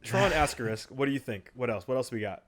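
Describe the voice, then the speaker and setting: A man co-hosting a casual podcast conversation, keeping the talk moving with animated asides. His voice sits at an ordinary middle pitch for a man. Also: fast talker. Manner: animated, steering the discussion. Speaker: podcast co-host. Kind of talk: casual chat.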